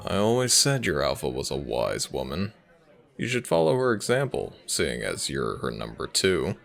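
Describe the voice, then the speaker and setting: A man's voice: rumbling low, wildly swooping pitch, normal speed, creaky, fairly bright tone, soft background music, exaggerated voice. A man playing a cocky, flirtatious werewolf from a rival pack in an audio roleplay drama, smug and theatrical in his teasing. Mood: needling.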